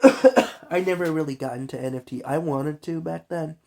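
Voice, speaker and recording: A man's voice: a little high, moderate pace, creaky, darkish tone. A young man who is sick and coughs now and then, talking in a loose, rambling, slangy vlog monologue full of fillers. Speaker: vlogger.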